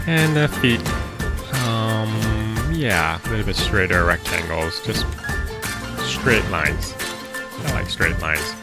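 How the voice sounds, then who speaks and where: A storyteller and drawing teacher talking to children in an informal way, often thinking aloud. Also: sits low.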